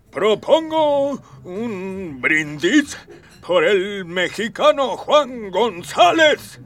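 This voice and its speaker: A man's voice: high-pitched, a bit bright, recorded from a distance, full character voice. An actor playing a pompous, aggrieved emperor and father in a scripted radio drama, speaking in a theatrical, grandiose way. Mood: grandiose, grateful. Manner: theatrical, drawn-out, grandiose speech.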